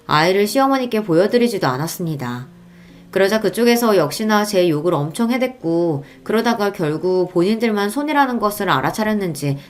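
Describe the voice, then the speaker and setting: A woman narrating a scripted first-person story in the style of a radio story reading. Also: medium pitch.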